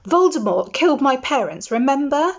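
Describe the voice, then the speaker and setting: A woman's voice: a little high, lively intonation, moderate pace, darkish tone, voice pushed up. A woman voicing an earnest, determined schoolboy wizard who speaks urgently, on a dull lo-fi recording. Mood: defiant. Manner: urgent, pressing.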